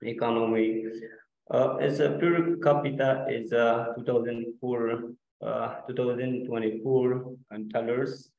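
A man, not a native English speaker, talks through his slides slowly and haltingly, filling gaps with "uh" and restarting words. His voice stays flat and unhurried. The video call audio is muffled and echoey. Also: medium pitch. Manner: hesitant, many fillers and restarts. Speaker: student presenter.

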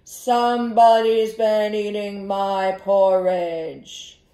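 Woman doing a big, booming papa bear. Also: level tone, mellow. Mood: indignant. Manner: booming, drawn-out, emphatic. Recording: echoey living room.

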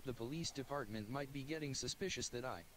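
A synthetic male voice narrates a visual novel in a flat, even read. Its pitch is mid-range, with a faint hiss underneath. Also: fairly quick, poor audio. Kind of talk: text-to-speech game narration.

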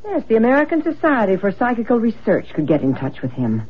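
A woman's voice: on the high side, wildly swooping pitch, fast talker, slight background noise. A woman playing an earnest, insistent mother-in-law fascinated by spirits, in vintage radio drama style. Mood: persuasive. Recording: muffled lo-fi old radio broadcast.

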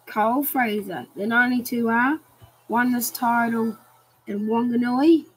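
A woman's voice: fairly high pitch, unhurried, slight background noise, rough recording. A woman giving casual, eager commentary on a racing game and building hype with questions. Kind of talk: casual hobby race commentary.

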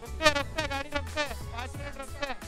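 A man's voice: very high voice, fairly even intonation, rapid-fire. A man in his thirties, a travel vlogger, chatting upbeat and casual outdoors on a city street and from his motorbike, caught on an action camera. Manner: upbeat, mixes English phrases into chat. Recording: loud music under the voice.

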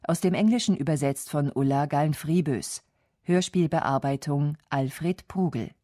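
A woman's voice: low voice, unhurried, mellow, no room sound, studio quality. A woman announcing radio play credits in a formal, scripted style.

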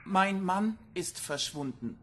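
A woman's voice: fairly low pitch, lively intonation, slow pace, slight background noise. An actress playing a worried middle-aged mother in a crime radio drama, hesitant, insistent and often repeating herself. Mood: anxious, insistent. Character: worried mother searching for her missing banker partner.

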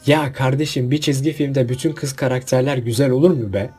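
A man's voice: medium pitch, steady tone, fairly quick, over loud music. A man hosting a casual list video, giving opinionated commentary with jokey asides and rhetorical questions. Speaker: video host.

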